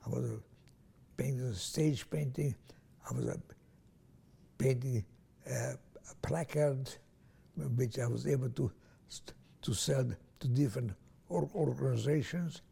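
Older gravelly Hungarian-born man, halting. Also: mid-range voice, mellow. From Transylvania, Romania. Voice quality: gravelly, husky, hesitant with many restarts. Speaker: interviewee. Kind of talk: oral history interview.

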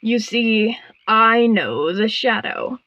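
A woman voicing a sly, commanding frog queen in a storybook tale, croaking and drawing her words out haughtily. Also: on the high side, animated, pushed and bright, no room sound, dull audio, exaggerated voice. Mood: commanding, scheming. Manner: slow, drawn-out, haughty delivery.

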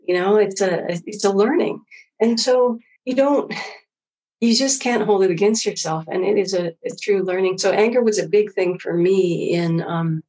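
A middle-aged woman from Hawaii with a slightly husky voice, telling personal stories in an informal, rambling, reflective way as an interview guest. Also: mid-range voice, a little mellow, low-quality recording. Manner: informal, rambling, quotes own thoughts. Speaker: interview guest, former engineer. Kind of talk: reflective personal storytelling in conversation.

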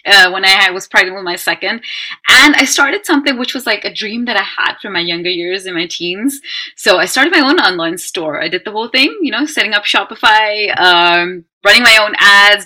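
A woman speaks with a bright, sharp tone. She is an emphatic, animated podcast guest who explains things in quick run-on lists. Her pitch sits in an ordinary middle range. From Netherlands. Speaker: guest, brand strategy consultant. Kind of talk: podcast interview.

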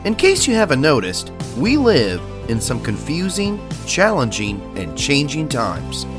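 A man's voice: fairly high pitch, huge pitch swings, a little slow, over music. A man who pastors and hosts a faith podcast, talking in an earnest, emphatic way with a nasal voice and frequent filler sounds. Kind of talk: podcast sermon talk.

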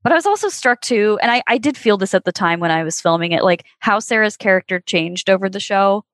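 A woman's voice: mid-range voice, expressive, fairly quick, a bit bright, no room sound. A woman in her twenties talks through her memories on a podcast in a thoughtful, reflective way. Her voice is close and dry, with no room sound around it. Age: 20s. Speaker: podcast guest, former child actor. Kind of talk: casual podcast conversation.

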